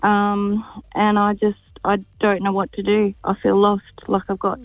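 Woman in her 40s, halting caller, tinny phone line, dry sound. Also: medium pitch, completely flat delivery, no echo, soft background music. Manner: hesitant, halting, many pauses.

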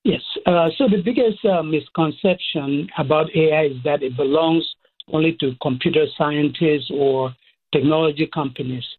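A man with a Cameroonian accent explaining things as an expert interview guest, deliberately, listing points in short phrases. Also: a little high, slightly rough. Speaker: expert guest, professor and AI researcher. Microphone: phone call. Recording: muffled, thin phone line.

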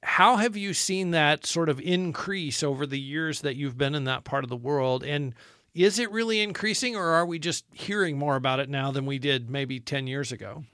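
A man's voice: on the high side, normal speed, pushed and bright, clean tone, no room sound, clean studio sound. A man with an American accent hosting a conversational radio interview in an earnest, encouraging way. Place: radio studio.

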